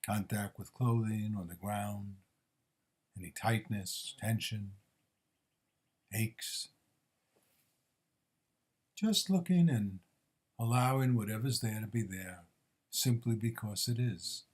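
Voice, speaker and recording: A man leading a guided body scan meditation as a teacher, speaking in measured, gently instructing short phrases with pauses between them. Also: fairly low pitch, slightly rough.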